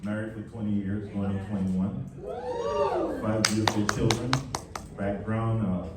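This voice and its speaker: Earnest low-voiced man in an echoey hall. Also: huge pitch swings, unhurried, distant mic, over loud music. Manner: earnest and urging, builds short lists. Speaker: panel speaker. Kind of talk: speaking to a live audience. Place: event hall.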